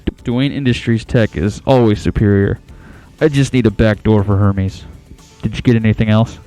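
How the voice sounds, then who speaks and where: A man's voice: lowish voice, fairly even intonation, moderate pace, warm tone, soft background music, lightly acted. A man playing a smug, secretive tech tycoon with a cool manner in an audio drama. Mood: smug, curt.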